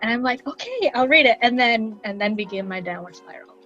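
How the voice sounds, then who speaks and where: A young woman's fairly high voice comes through a slightly dull recording. She co-hosts a casual chat with friends, playful and teasing, over faint music. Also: animated, brisk, bright, forceful tone. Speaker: podcast co-host.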